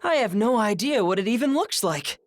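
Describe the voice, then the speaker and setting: A woman's voice: a little high, very animated, fairly quick, no echo. A woman voicing a flamboyant, grand-talking treasure-hunting adventurer in a game dub. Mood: grandiose. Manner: theatrical, grand, playful. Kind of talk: video game voice acting.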